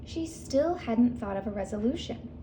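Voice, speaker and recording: A woman's voice: on the high side, expressive, normal speed, background noise. A woman reading a children's picture book aloud with expression, stopping to ask her young listeners questions. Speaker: read-aloud host.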